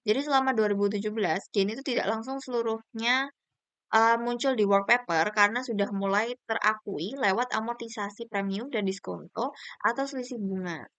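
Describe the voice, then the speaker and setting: A woman's voice: on the high side, moderate pace, fairly bright tone, smooth, no room sound. A young woman, giving an explanatory slide presentation as a university student. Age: young adult.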